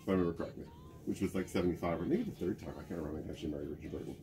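Deep-voiced guy curator rambling about old clothes, stops to hunt for names, camera a bit off in a basement storeroom with slight hiss. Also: very fast, vocal fry, dark tone. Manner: chatty, trails off searching for names. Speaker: museum curator. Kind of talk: casual show-and-tell chat. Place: museum basement storage room among clothing racks.

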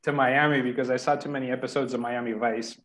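A man's voice: medium pitch, fairly even intonation, fast, a bit bright. Easygoing Spain-born man on a call. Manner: laughs often, self-deprecating. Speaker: guest. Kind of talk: casual storytelling. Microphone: headset on video call.

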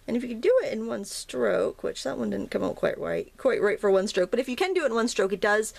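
A woman talks through a watercolor lesson while she paints at a table, her voice a little hoarse and gravelly with vocal fry. She chats casually, restarting sentences and filling gaps with ums as she works. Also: on the high side, expressive, fast talker, darkish tone. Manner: chatty, self-interrupting, frequent ums and restarts. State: slightly hoarse, morning froggy throat. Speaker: watercolor teacher. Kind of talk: casual painting tutorial narration.

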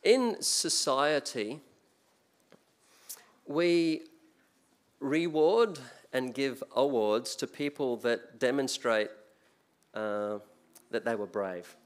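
Older Australian lay preacher, chatty, unpolished pulpit delivery. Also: male voice, medium pitch, lively intonation, extremely slow, pauses often. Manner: conversational, many restarts and fillers. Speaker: guest preacher. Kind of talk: sermon. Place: church sanctuary, speaking at a pulpit. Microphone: pulpit mic.

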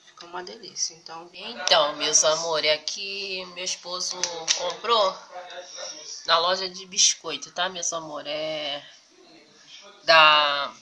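A woman's voice: lowish voice, steady tone, takes it slowly, crowd noise. A Brazilian woman with a cold, talking homely and casual as she films her cooking and groceries in a home kitchen on a phone, repeating endearments to her viewers. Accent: Brazilian Portuguese. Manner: homely, repeats "meus amores" and "tá" constantly. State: has a cold, congested voice. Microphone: phone camera held over food, speaker off screen.

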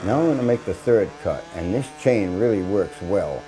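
A man's voice: fairly low pitch, expressive, moderate pace, background noise. Plainspoken older man teaching outdoors. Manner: plainspoken, practical, folksy asides. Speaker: shipwright demonstrating chainsaw work. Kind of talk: hands-on instructional explanation. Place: outdoors in a grassy yard by a mill.

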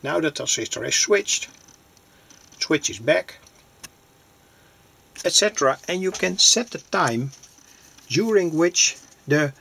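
A man with a husky, gravelly voice gives a hands-on technical explanation. He speaks very slowly, phrase by phrase, in a plain and halting way, like a hobbyist presenting his own work. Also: fairly high pitch, expressive, pushed and bright. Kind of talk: hands-on circuit demonstration, explanatory. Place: small cluttered indoor electronics workbench.

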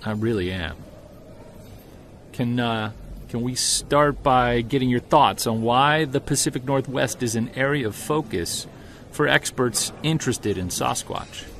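A man's voice: mid-range voice, unhurried, a bit bright, slight background noise. A man playing an earnest investigator in an audio drama interview, speaking thoughtfully with some hesitation. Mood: earnest, curious.

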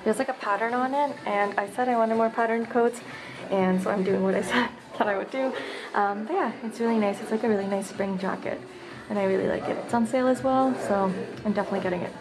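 A woman's voice: on the high side, fast talker, over music. A young woman vlogging casually, chatting upbeat with lots of fillers, filmed on a handheld camera. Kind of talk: casual vlog chat. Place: shopping mall and home living room. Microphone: handheld camera close up.

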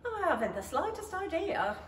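Woman playing a high, swooping mad hatter. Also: big pitch swings, normal speed. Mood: testy. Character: eccentric, rude, mad Hatter at a tea party. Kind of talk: 1930s-style radio play acting. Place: stage in an empty theatre hall. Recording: echoey hall from far off, faint noise.

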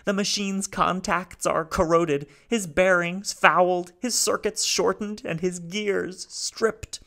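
Little old alien robot: high, halting, mournful. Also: male voice, steady tone, vocal fry, sharp tone, full character voice. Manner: halting, lamenting.

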